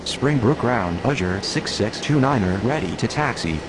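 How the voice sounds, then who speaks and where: A man's voice: mid-range voice, lively intonation, moderate pace, background noise, lightly acted. A man playing a pilot and tower controller in a game, with formal, businesslike aviation phraseology. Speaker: pilot and air traffic controller radio voice. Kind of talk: scripted aviation radio phraseology.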